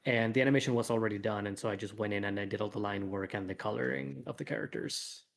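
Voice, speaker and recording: A man's voice: on the low side, fast talker, creaky. A man talking casually as a guest creator in an interview, thinking aloud and rambling a little as he explains. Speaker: guest comic author and animation artist. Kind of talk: casual livestream interview talk.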